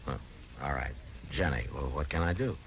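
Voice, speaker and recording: An actor playing a steady, authoritative western marshal in a radio drama, speaking in a terse, measured, gruff but decent way. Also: male voice, extremely low pitch. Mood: concerned. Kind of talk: 1950s radio western acting. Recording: muffled, noisy 1950s radio recording.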